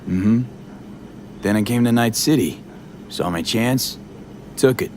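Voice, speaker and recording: A hardened mercenary in a video game. He is deep-voiced and laconic, giving terse, understated lines over faint background hum. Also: slow pace, darkish tone. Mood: quiet, reflective. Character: laconic, hardened male mercenary, former nomad. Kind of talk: video game cutscene acting.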